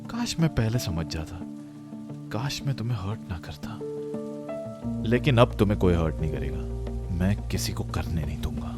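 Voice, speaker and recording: A man's voice: lowish voice, sing-song, moderate pace, long pauses. An actor playing a protective, brooding young man in love in an audio romance drama, speaking with earnest emotion. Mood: regretful, tender. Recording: music bed under voice.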